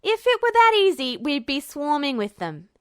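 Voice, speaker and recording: A woman's voice: high-pitched, big pitch swings, normal speed, a bit bright, dry recording, studio quality. A woman voicing an indignant, defiant young man in an audiobook story, snappy and protesting. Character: hot-tempered young male alchemist, indignant and defiant.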